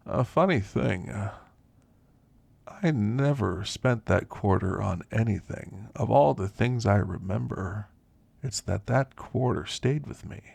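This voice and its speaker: Man voicing elderly male werewolf, husky and worn, close dry sound, reflective, soft-spoken, ASMR roleplay. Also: on the low side, slow pace, no echo, full character voice. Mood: wistful, tender. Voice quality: gravelly, husky.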